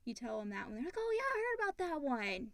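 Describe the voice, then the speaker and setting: Female co-host, fairly high voice, dry studio-like sound, informal conversational delivery. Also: big pitch swings, fast, dry recording. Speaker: podcast co-host. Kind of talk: casual chat, reading listener questions.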